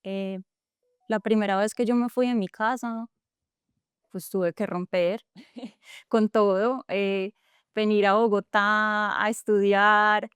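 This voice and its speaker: A woman's voice: mid-range voice, fairly even intonation, slow, pauses often, fairly bright tone, clear, resonant tone, no room sound. Reflective Colombian woman with a coffee-region accent. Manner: earnest and reflective, frequent fillers and restarts. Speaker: guest, tech entrepreneur. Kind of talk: reflective conversational interview.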